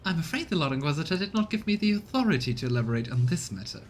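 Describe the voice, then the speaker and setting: An arrogant armored woman agent from a sci-fi game, acted by a man, speaks with formal, condescending polish. The pitch is lifted a little, over a faint rumbling noise. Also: very animated, rapid-fire. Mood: cool, superior. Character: arrogant female inquisition agent in power armor.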